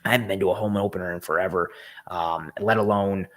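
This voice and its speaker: A man with a low, hoarse, raspy voice hosts a live sports stream. His voice is worn out, and he talks in an eager, rambling way, full of ums and restarts as he reads out viewer comments. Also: fairly even intonation, quick pace. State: hoarse, voice worn from a game the night before. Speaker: host of a football show. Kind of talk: casual live-stream sports analysis, reading chat comments.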